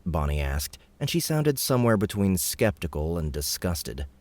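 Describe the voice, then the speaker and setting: A man's voice: sits low, very animated, normal speed, a little mellow. A man reading scripted fiction narration for an audiobook.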